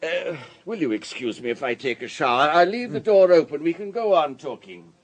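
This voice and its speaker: A rich, urbane man of society in a radio play. His voice is high for a man and a little husky, swinging freely up and down. He is breezy, chatty and courteous, entirely sure of himself. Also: animated, normal speed. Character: wealthy, urbane, talkative society man, breezy and self-assured. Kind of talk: radio drama acting.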